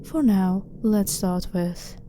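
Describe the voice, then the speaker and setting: Female alien scientist acted by a woman, blunt and clinical yet harmless, very soft dark tone, ASMR sci-fi roleplay over noticeable background ambience. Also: medium pitch, lightly acted. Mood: matter-of-fact. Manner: blunt, formal, matter-of-fact, measured. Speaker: ASMR voice performer. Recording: clearly noisy ambient background.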